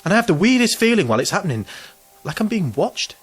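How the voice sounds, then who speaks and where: A man's voice: sits high, big pitch swings, quick pace, slightly rough, faint music behind, heavily acted. An actor playing an anxious, self-pitying man in his twenties, hesitant and rambling, in an audio drama. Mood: uneasy, confiding. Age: 20s.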